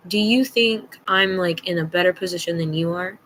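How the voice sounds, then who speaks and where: Bright-voiced young woman telling stories bluntly. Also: lowish voice, normal speed, a little hiss or hum. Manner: blunt, slangy, emphatic, acts out dialogue. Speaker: storytime vlogger. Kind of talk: casual personal storytelling.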